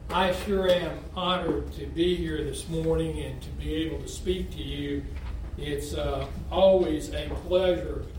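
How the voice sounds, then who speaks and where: A man's voice: a little high, darkish tone, slight background noise. A man from Texas giving a folksy, conversational sermon as a visiting lay preacher, asking the congregation questions as he goes. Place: church sanctuary. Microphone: standing away from the mic. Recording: very echoey church hall, mic far off.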